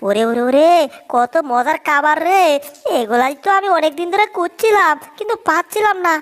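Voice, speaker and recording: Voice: sits high, a little fast, fairly bright tone. A performer voicing a small, scheming, whiny cartoon mouse in a comedy dub, exclaiming and narrating his plans. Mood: scheming, greedy. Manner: whining, exclamatory, self-narrating.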